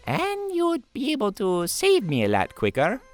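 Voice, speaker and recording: A timid, geeky cartoon plumber, speaking high and earnest. The pitch leaps about with excitable exclamations, in a comedic fan-animation style over faint music. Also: male voice, normal speed, no echo, heavily acted.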